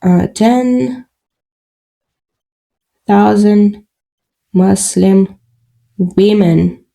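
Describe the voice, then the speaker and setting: Slow, gravelly woman with Russian accent. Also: medium pitch, mellow, poor audio. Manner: halting, searching for English words, many fillers. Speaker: podcast guest. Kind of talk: casual interview talk.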